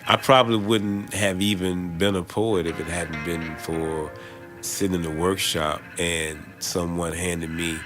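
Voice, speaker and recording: A middle-aged man telling a personal story reflectively and informally, acting out his own reactions. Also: low-pitched. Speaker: poet and professor. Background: guitar music.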